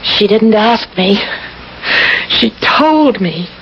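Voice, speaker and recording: A woman's voice: mid-range voice, animated, very slow, creaky, a bit bright, full character voice. An actress playing a timid, honest female social worker in a radio mystery drama, hesitant, apologetic and earnest. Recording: dull, noisy old radio broadcast.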